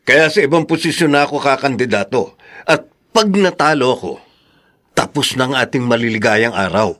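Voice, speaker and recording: A male actor plays a corrupt, hot-tempered Filipino politician in a radio drama. His mid-pitched voice swings widely and leans hard on words in an emphatic, theatrical way. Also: animated, normal speed, fairly bright tone, lightly acted. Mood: exasperated.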